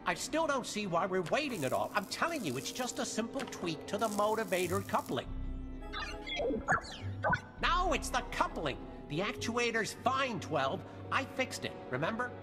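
High-voiced fussy mechanic. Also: male voice, sing-song, normal speed. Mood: defensive. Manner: fussy, defensive. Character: brainy, touchy, argumentative young starship mechanic. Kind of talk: video game cutscene acting. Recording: loud music behind.

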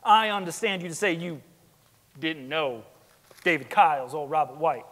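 A man's voice: fairly high pitch, moderate pace, fairly bright tone. A young man playing a sceptical 1890s trial lawyer in a dramatic stage reading, questioning in a pointed, insistent way. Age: young adult. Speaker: student actor in a courtroom reading. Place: large theatre stage, indoors. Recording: echoey theatre hall.

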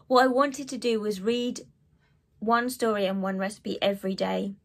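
A woman in her thirties with a cold and a croaky voice, chatting to camera about books she read, with lots of ums and asides. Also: medium pitch, steady tone, moderate pace. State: hoarse from a cold. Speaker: book vlogger. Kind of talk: casual chat to camera. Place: living room with bookshelves.

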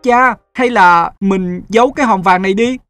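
A man voicing an earnest, emotional young son in an animated fairy tale. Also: sits high, moderate pace, smooth, faint music behind. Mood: alarmed.

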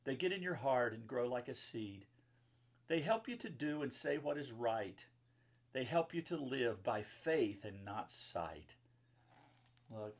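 Male pastor, 50s, mid-pitched with a husky edge, telling Bible stories to children in simple, explanatory language. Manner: simple child-directed phrasing with explanatory asides. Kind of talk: children's Bible storybook reading and talk. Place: book-lined room indoors.

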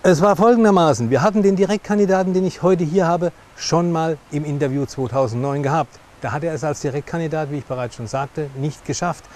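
A man's voice: a little high, fairly quick, few pauses, a little mellow. A middle-aged man hosting an interview, speaking emphatically and repeating phrases for stress. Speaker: interviewer and host. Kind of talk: television interview presenting. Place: outdoor lakeside café terrace. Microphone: lapel mic. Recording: clear outdoor background noise.

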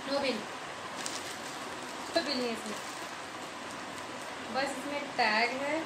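Chatty high-voiced woman vlogging in echoey room. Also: slow pace. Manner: chatty and rambling, repeats herself; gets more emphatic when complaining. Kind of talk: casual product unboxing review. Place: small tiled room indoors. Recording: echoey tiled room, loud background hiss.

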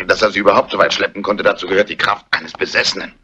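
Deep-voiced police inspector. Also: male voice, fairly even intonation, very fast, bright, forceful tone, dull audio. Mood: businesslike. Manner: authoritative, methodical. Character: businesslike, measured police inspector. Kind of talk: German crime film dubbing, theatrical dialogue. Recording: faintly noisy vintage soundtrack, clicks.

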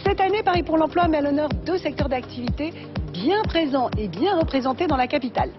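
A woman's voice: high-pitched, animated, a little fast. A woman narrating a scripted TV news report as a reporter. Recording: slightly dull sound over music. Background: background music.

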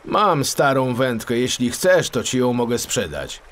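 A man's voice: medium pitch, lively intonation, unhurried, a bit bright, a little hiss or hum, pitched above the performer's own voice. A man voicing a stingy, scornful fisherman in a children's audiobook, brusque and mocking.

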